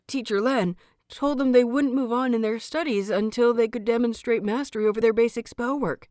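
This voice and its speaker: A nervous young male disciple, acted by a woman in an audiobook story. The voice is pitched up and strained, stammering and then blurting things out in a hurry, eager and flustered. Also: no echo, clean studio sound, close to an everyday voice. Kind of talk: dramatised audiobook reading.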